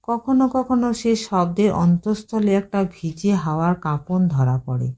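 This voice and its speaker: A woman narrates a short story for an audio channel. Her delivery is measured, her pace very slow, and her tone warm and mellow. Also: lowish voice.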